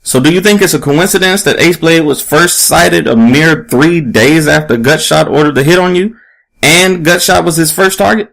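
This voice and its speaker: A man's voice: fairly high pitch, normal speed, gravelly, fairly bright tone, pitched above the performer's own voice, poor audio. A man voicing a pushy, relentless female investigative reporter in an audiobook story, with an emphatic, probing delivery.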